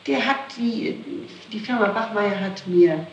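A woman's voice: medium pitch, unhurried, muffled recording. A middle-aged woman answering questions in a recorded interrogation, emphatic, indignant and defensive, on an old tape. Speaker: accused being questioned. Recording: old echoey, noisy tape, distant mic.

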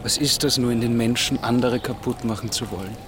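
A man's voice: mid-range voice, steady tone, a little fast, close to an everyday voice. An actor playing a pensive, troubled man in a radio drama dialogue. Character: troubled, questioning man talking in wartime. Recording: outdoor scene with street noise.

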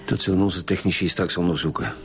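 An actor with a Flemish accent playing a gruff, terse police commissioner in a radio drama. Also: male voice, sits low, fairly even intonation, fast, lightly acted. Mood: businesslike. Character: gruff, grumbling male police commissioner leading detectives.

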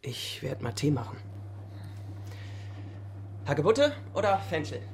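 Casting: timid young man, radio play, gravelly medium-pitched voice, halting, earnest, defensive, faint hum. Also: sing-song. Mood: earnest, agitated. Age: young adult. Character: soft, nervous young male student, earnest expectant father. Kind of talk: radio drama dialogue.

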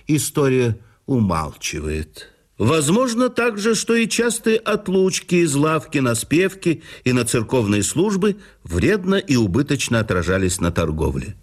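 A man reading a memoir aloud in a measured, expressive manner as the narrator of an audio production. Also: medium pitch.

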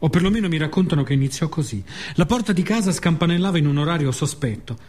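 Husky Roman man telling a theatrical story. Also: fairly high pitch, lively intonation, a little mellow. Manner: dramatic pauses, repeated phrases, short fragments. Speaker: actor and author of a radio monologue. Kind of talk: theatrical scripted storytelling monologue.

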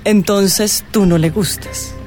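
An actress with a Colombian accent playing a mysterious, self-assured tarot reader in a radio drama, theatrical and sardonic. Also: female voice, medium pitch, fairly even intonation, slow pace, darkish tone, faint music behind, lightly acted. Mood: probing, amused.

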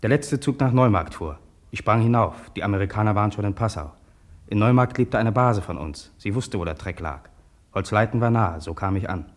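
A man's voice: on the low side, lively intonation, a little fast, close to an everyday voice. Subdued young homecoming soldier, played by a man. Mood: subdued, reflective. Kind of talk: radio drama first-person recollection.

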